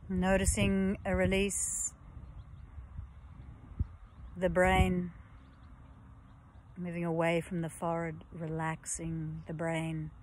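A woman yoga teacher guiding a relaxation session in a slow, soft, soothing voice with long pauses, at times breathy and close to a whisper. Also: fairly low pitch, completely flat delivery, a little hiss or hum. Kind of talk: guided yoga nidra relaxation.

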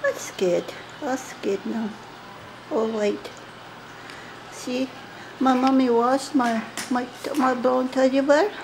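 A woman's voice: high-pitched, slow, long pauses, noisy background. A woman voicing an anxious young male dog who talks in a whiny, childlike, pleading way. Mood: scared, pleading. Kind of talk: pet voice-over monologue.

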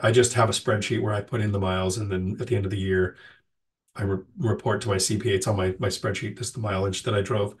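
A man's voice: lowish voice, far from the mic. A middle-aged man with a sick, nasal voice, casually answering live chat questions as host, with frequent ums and restarts.

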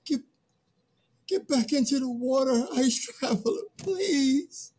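Weary human male actor: slow, swooping, anguished delivery, halting, for a dramatic play reading. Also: very high voice, expressive, a little mellow, husky, exaggerated voice. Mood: desperate pleading. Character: Man, weary despairing human pleading for help. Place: video call, virtual background.

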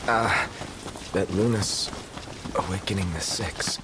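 Lowish-voiced laconic young prince. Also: male voice, takes it slowly, noisy background. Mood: reflective. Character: laid-back, laconic young prince in fantasy game.

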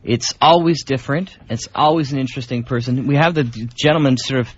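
A man's voice: medium pitch, quick pace, slightly rough. A man hosting community radio with rambling, jokey, unscripted banter. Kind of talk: casual unscripted co-host banter. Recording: dull lo-fi radio recording.

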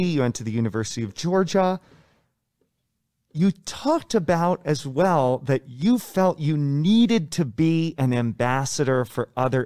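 A man's voice: medium pitch, a little mellow, no room sound. An American man hosting a conversational interview, speaking through a face mask into a close microphone. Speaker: podcast host. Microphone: close studio mic on stand.

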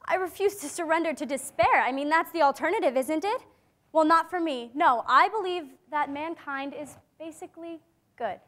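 Earnest hopeful teen girl in a stage play, really high voice, measured. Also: a bit bright. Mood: resolute. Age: young adult. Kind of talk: staged dramatic reading. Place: theatre stage before a seated audience. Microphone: clip-on lapel mic.

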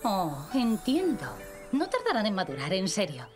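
A woman's voice: mid-range voice, huge pitch swings, moderate pace, darkish tone, soft background music. A woman playing a patient, affectionate mother of young children in a Castilian Spanish cartoon dub. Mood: patient, reassuring.

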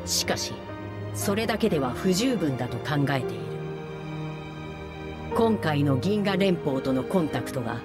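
A cool, formal artificial lifeform in a game cutscene, her voice set a little low and spoken with measured, detached precision. Game music plays clearly beneath her lines. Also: lively intonation. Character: calm formal artificial research being, female. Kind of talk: video game cutscene voice acting. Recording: game audio with music underneath. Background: game soundtrack music.

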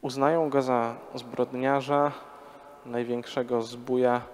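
A man's voice: medium pitch, steady tone, slow pace, a little mellow. A man in his thirties, a priest, preaching a parish sermon to a congregation in a conversational way, with rhetorical questions, on a handheld microphone. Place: large church before a congregation. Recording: long church reverb.

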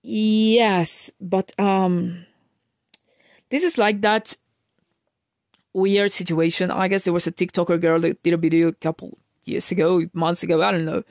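A woman's voice: on the low side, fairly even intonation, a little slow, darkish tone, dry recording. Emphatic ranting woman, dry close voice. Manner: emphatic, lecturing, frequent restarts and fillers. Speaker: vlogger. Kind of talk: casual ranting monologue.

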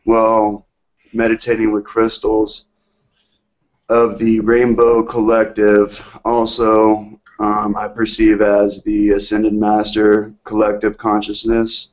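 A man's voice: lowish voice, completely flat delivery, lo-fi sound. A man calling in to speak earnestly and hesitantly about his spiritual experiences. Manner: hesitant, earnest, drawn-out phrasing. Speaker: caller. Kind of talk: slow, reverent spiritual talk.

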